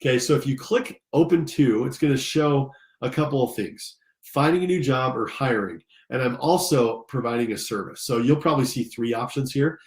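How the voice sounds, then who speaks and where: A man's voice: medium pitch, normal speed. Congested American man in an echoey room. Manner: casual, enthusiastic, addresses listeners directly. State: slightly congested from allergies. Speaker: career coach and instructor. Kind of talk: informal screen-share tutorial. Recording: roomy, echoing sound.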